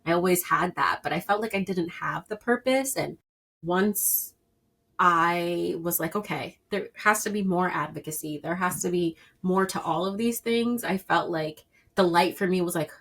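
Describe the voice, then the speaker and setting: Woman in her 30s on a podcast, low-ish voice, earnest, gets loud and high when she pushes a point. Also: normal speed, husky. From Puerto Rican and Dominican background. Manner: earnest, emphatic, rising to a loud pitch when stressing a point. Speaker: podcast guest. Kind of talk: candid personal interview.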